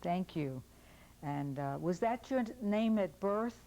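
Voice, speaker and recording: Measured, polite woman interviewer with a warm, mellow tone. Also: lowish voice, lively intonation. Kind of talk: formal interview questions.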